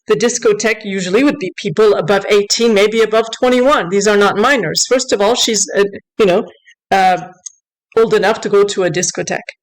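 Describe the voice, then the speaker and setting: A woman's voice: medium pitch, brisk, poor audio. A woman giving unscripted news commentary in an upset, insistent, corrective way, sometimes switching between two languages as she reads aloud. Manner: switches between English and French while reading aloud. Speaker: online commentator.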